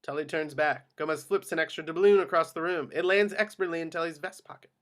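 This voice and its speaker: Higher-voiced man reading stage directions. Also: quick pace. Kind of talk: scripted narration at a table read.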